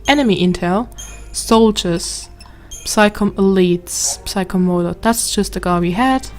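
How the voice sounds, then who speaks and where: A woman's voice: medium pitch, measured pace. A woman with a German accent, chatting and reading game text aloud as she plays. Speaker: gameplay commentator. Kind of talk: let's play commentary. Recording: game music behind.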